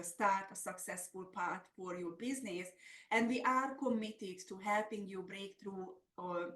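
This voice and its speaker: A woman's voice: mid-range voice, fairly bright tone. Hungarian-accented woman presenting in an echoey room. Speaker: webinar presenter and business coach. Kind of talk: semi-scripted webinar presentation. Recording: roomy, echoey sound.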